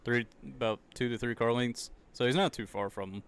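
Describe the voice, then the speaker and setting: Southern US male streamer, young adult, laid-back, chatty race commentary, smooth clear voice, mid pitch. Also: fairly even intonation, fairly quick. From American South. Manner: chatty, loose, laughs often, says dude and like. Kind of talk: casual live reaction commentary.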